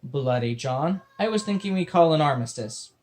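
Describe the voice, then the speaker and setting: A man's voice: a little high, expressive, moderate pace, darkish tone, natural voice. A man voicing a weary young man bargaining with a captor in a dry, matter-of-fact way.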